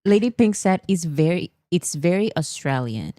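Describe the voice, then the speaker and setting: A woman's voice: lowish voice, animated, moderate pace, dark tone, dry recording, studio quality. A woman chatting casually as a livestream host, playful and prone to giggling. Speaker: host of a pop culture livestream. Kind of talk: casual chat.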